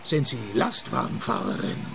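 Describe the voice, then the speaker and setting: An actor playing a wry, deadpan private detective who narrates and talks in a radio play. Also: male voice, lowish voice, normal speed, creaky, a little hiss or hum, full character voice. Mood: dry, sardonic. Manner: dry, deadpan sarcasm.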